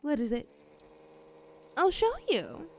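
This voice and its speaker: A woman's voice: high-pitched, sing-song, slow, darkish tone, slight background noise, heavily acted. A woman playing a gleefully cruel female mad scientist in a horror audio roleplay, speaking theatrically in precise, formal phrases. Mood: gleeful, manic.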